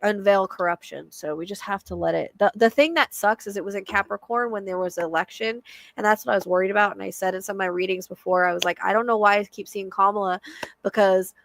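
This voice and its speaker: A woman's voice: medium pitch, steady tone, quick pace, vocal fry. A woman hosting a casual live chat as a psychic medium, with a soothing voice and a chatty, hesitant way of talking full of fillers.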